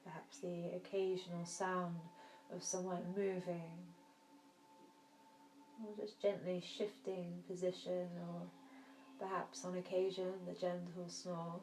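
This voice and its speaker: A woman teaching yoga nidra, guiding a relaxation meditation in a soft, soothing, gentle manner with long pauses. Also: on the low side. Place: indoor room. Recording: roomy echo, faint background noise.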